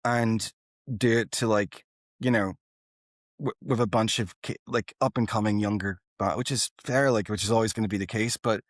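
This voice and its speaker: Male interview guest, 30s, lowish pitch, informal and earnest, rambling delivery, dry recording. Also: steady tone, moderate pace, no echo. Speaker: guest, rock band singer and guitarist. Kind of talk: casual interview chat.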